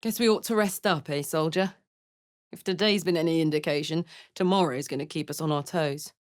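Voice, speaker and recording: A woman's voice: deep voice, brisk, no echo, lightly acted. A woman voicing a brash, fiery horned warrior woman in a video game, talking bluntly and informally like a soldier, recorded dry. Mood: frank, friendly. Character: brash, fiery female devil-horned warrior with an infernal engine heart. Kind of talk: video game character voice lines.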